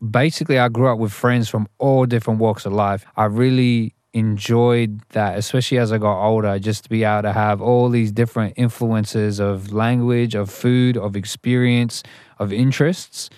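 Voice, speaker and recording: Low, smooth-voiced man. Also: fairly even intonation, normal speed, darkish tone, no room sound, clean studio sound. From Australia. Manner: thoughtful, frequent restarts and "you know". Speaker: rapper, guest. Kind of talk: interview, reflective storytelling.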